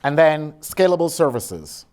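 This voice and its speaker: A man's voice: on the high side, big pitch swings, a little slow, darkish tone. A middle-aged man from Barbados with a Barbadian accent, giving a blunt, sassy conference keynote full of joking asides. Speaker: keynote speaker. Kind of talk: lively conference keynote with audience interaction.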